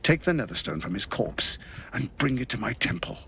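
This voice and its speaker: A performer voicing a sadistic cult assassin in a deep, warped, sneering tone. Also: sing-song, moderate pace, very noisy. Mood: venomous. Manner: sneering, venomous, gloating. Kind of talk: video game cutscene acting.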